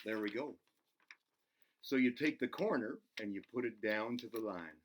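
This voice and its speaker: A man voicing an excitable, goofy buzzard who hosts a children's show, playful and giggly as he talks to himself and to the kids. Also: lowish voice, normal speed, a little hiss or hum, lightly acted. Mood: playful, encouraging. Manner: giggly, self-interrupting, talks to himself in third person. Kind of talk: playful craft demonstration for children.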